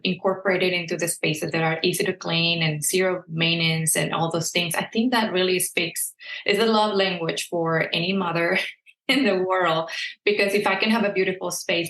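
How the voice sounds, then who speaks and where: Mexican-accented woman with a low, gravelly voice. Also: a bit bright. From southern Mexico. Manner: frequent "you know" fillers, hesitant restarts. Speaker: guest interior designer. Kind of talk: casual interview talk. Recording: echoey room.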